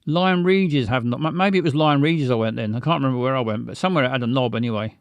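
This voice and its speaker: A man's voice: medium pitch, racing, smooth, no room sound. A man, casually chatting with stream viewers in a rambling, stammering, self-mocking way. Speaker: livestream host.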